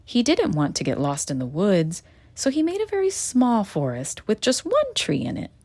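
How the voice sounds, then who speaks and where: A woman reading a children's picture book aloud in a gentle, expressive storytelling manner. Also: mid-range voice, moderate pace. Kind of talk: children's read-aloud narration.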